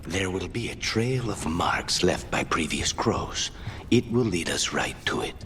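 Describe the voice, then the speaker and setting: A deep-voiced, grave assassin in a fantasy game. He is blunt and measured, with clear ambient noise around his voice. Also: animated, a bit bright. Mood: grave, composed. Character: grave, composed male assassin companion, blunt and resolute. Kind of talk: dramatic video game acting.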